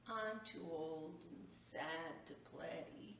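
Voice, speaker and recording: A woman's voice: deep voice, very slow, a bit bright, heavily acted. A woman voicing a needy, self-absorbed boy who grows into a weary man, plaintive, in a children's storybook. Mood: tired, resigned. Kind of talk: children's storybook read-aloud. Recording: echoey room, distant mic, faint noise.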